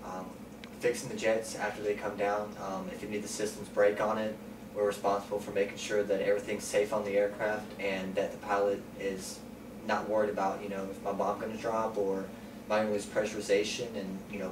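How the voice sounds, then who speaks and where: Calm young man interviewee, low even delivery, recorded far off with noise. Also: brisk. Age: 20s. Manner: calm, plain-spoken, frequent ums. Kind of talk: sit-down interview. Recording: roomy, distant mic, noisy.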